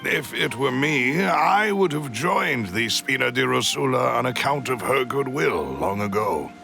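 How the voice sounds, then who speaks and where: A man's voice: medium pitch, expressive, a little slow, gravelly, pushed and bright, soft background music, exaggerated voice. A man playing a loyal, diplomatic retainer in a video game, with a formal, deferential and tactful manner. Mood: admiring.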